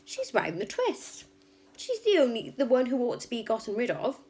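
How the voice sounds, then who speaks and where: A woman voicing a quiet, disapproving female primary teacher who is privately indignant, in a children's storybook. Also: a little high, wildly swooping pitch, normal speed, pitched above the performer's own voice. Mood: private indignation.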